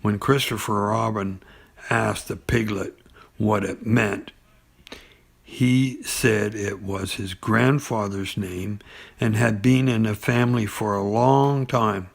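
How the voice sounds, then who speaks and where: A man reading a children's bedtime story in an unhurried, halting way, with pauses and small stumbles. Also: fairly low pitch, fairly even intonation, creaky. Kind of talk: bedtime story reading with asides and character voices.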